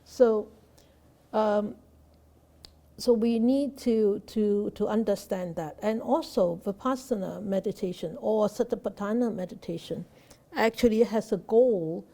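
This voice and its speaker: A woman Buddhist teacher answering a student's question off the cuff, halting with frequent fillers and restarts and posing rhetorical questions. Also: medium pitch, warm tone. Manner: hesitant, many fillers and restarts.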